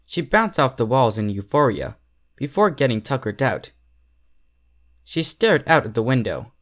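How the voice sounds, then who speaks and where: Guy narrating a story, takes it slow, pitch moves around a lot. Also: a bit bright. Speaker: fan fiction reader.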